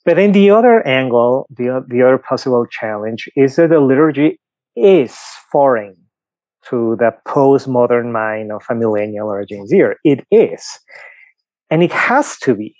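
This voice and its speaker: Peruvian-accented priest, dry close sound. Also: male voice, medium pitch, lively intonation, moderate pace, darkish tone, dry recording. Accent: Peruvian Spanish. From Peru. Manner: thoughtful, explains with examples, frequent fillers. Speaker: priest guest. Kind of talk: podcast interview answer.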